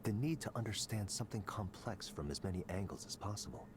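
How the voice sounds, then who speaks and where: An actor playing a smug, calculating tech executive in a video game, speaking in a smooth, condescending, deliberate way. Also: male voice, lowish voice. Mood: persuasive, calculating. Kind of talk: scripted visual novel dialogue.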